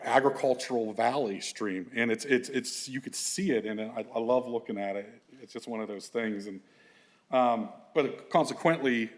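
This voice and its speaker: A middle-aged man, presenting slides to an audience in a loose, conversational way with lots of fillers and restarts. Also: mid-range voice. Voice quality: big voice. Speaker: environmental consultant presenting to an audience.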